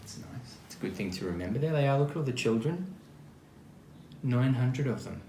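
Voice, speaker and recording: A man's voice: mid-range voice, a little fast. A man reading a children's picture book aloud expressively, adding chatty little asides as he goes. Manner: expressive, acts out quoted lines, chatty asides. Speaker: storybook reader. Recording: roomy echo, faint background noise.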